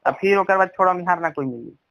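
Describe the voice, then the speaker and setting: A high-voiced man speaks with a Bhojpuri accent in a recorded phone call. He is blunt and scolding, with crude, profane language in a heated personal exchange. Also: quick pace, a bit bright.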